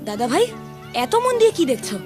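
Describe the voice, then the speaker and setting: Woman voicing a cartoon cowherd boy, headstrong, whiny, pleading, high quick chatter. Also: big pitch swings, over music. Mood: teasing. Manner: whiny, pleading back-talk. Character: mischievous young cowherd boy, restless and headstrong.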